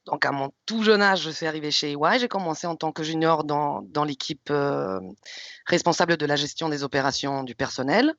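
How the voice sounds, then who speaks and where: A woman from Luxembourg, around her thirties, speaking in an expressive, candid and emphatic way as a manager on a career panel. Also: low voice, no echo. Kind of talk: informal panel presentation and storytelling. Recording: slightly dull, lo-fi audio.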